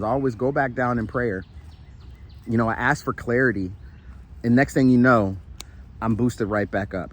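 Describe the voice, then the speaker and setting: Earnest man vlogging on a walk outdoors. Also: mid-range voice. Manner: earnest, repetitive, with frequent "right?" and restarts; walking while he talks. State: tired and sweating. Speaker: spiritual motivational vlogger. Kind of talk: casual encouraging monologue. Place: outdoors in a shaded park.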